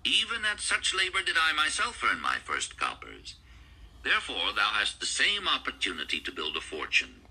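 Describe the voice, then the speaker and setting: A man voicing a humble, earnest ancient scribe in a formal audiobook style, with a husky voice. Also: mid-range voice, huge pitch swings, moderate pace, pushed and bright, pitched above the performer's own voice, heavily acted, rough recording.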